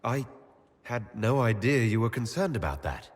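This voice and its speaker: An actor playing a calm, sincere, flirtatious male elf hero in a video game, speaking in an earnest, understated way. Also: mid-range voice, moderate pace, breathy edge, dry recording. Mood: surprised. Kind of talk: video game cutscene acting.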